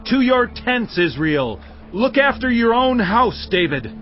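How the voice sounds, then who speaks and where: An actor playing an angry, defiant Israelite rebel in a dramatized audio Bible, calling out in declamatory fashion. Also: male voice, extremely high pitch, big pitch swings, measured pace, full character voice. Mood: angry defiance. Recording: dull recording over background music.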